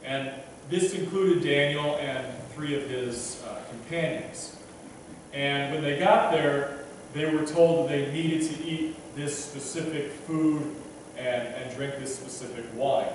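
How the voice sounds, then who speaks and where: A man's voice: on the high side, fairly even intonation, slow, slight background noise. A man in his thirties giving a casual sermon at a church pulpit, chatty and self-deprecating, stumbling over his words now and then. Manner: conversational, many restarts and fillers, self-deprecating. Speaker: preacher. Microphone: pulpit microphone, filmed from a distant camera. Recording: echoey church from far off.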